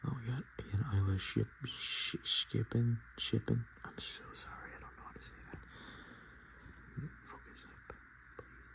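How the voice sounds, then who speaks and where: A man speaking softly and often whispering in a casual ASMR style, with hesitant, halting, murmured delivery. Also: medium pitch, steady tone, vocal fry, low-quality recording. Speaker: ASMR video host. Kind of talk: soft-spoken and whispered ASMR, casual commentary. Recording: noticeable background noise.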